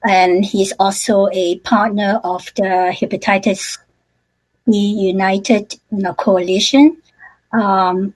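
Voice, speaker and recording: Woman, halting testimony. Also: mid-range voice, a little mellow, low-quality recording. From Hong Kong. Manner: halting, many fillers and restarts. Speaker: panel speaker sharing her story. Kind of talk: personal testimony on a webinar.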